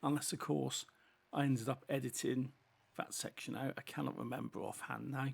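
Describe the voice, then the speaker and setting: A British gaming host talking through a race as he plays, chatty and unhurried in his commentary. His voice is deeply gravelly and rough, worn hoarse by a cold, and his S sounds come out with a strong hiss. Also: fairly even intonation. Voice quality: heavy sibilant S sounds. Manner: chatty running commentary while driving. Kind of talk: casual racing game commentary.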